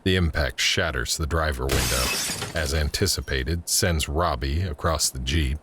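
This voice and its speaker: A man's voice: a bass voice, normal speed, heavy background noise. A man narrating an audio drama, reading scripted scene descriptions in the present tense.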